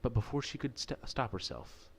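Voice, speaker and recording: A man's voice: mid-range voice, big pitch swings, moderate pace, breathy edge. Playful man narrating a visual novel let's play. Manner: playful, irreverent, swearing asides. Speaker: gaming video host. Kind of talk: casual visual novel let's play, reading aloud with joking asides.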